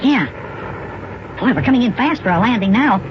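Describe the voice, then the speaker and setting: A man's voice: very high-pitched, expressive, moderate pace. A man voicing an eager little elf boy with sped-up, gee-whiz delivery in an old children's radio serial. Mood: excited. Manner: gee-whiz boyish exclamations. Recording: dull, very noisy old radio recording.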